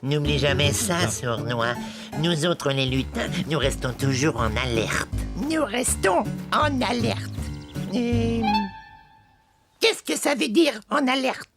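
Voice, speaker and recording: An actor voicing a sneaky, grumpy, excitable goblin out to spoil everyone's fun in a children's cartoon. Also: medium pitch. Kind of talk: animated children's cartoon dub. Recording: loud music behind the voice.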